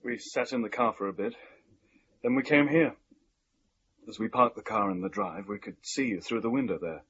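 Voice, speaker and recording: An actor playing a shaken young husband who recounts events haltingly, as a monologue in a radio play. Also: male voice, mid-range voice, sing-song, vocal fry, fairly bright tone, lo-fi sound, slight background noise, heavily acted. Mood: shaken, weary. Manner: halting, haunted storytelling.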